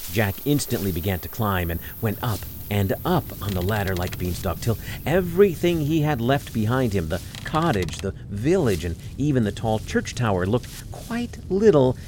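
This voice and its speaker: Expressive low-voiced male storyteller. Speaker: storyteller, narrator. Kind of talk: scripted fairy tale narration.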